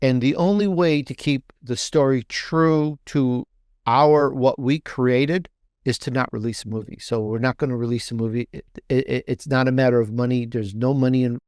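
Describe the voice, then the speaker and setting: A man talking casually as a guest author in an interview, halting and restating phrases for emphasis. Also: mid-range voice, steady tone, darkish tone, clean tone, no echo, clean studio sound. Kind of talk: casual promotional interview talk.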